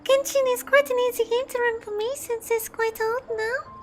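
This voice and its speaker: A woman's voice: very high-pitched, steady tone, normal speed, a little hiss or hum. A woman streaming a game, chatting casually in a gushing, sentimental way. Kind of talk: casual livestream chat while gaming. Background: faint music.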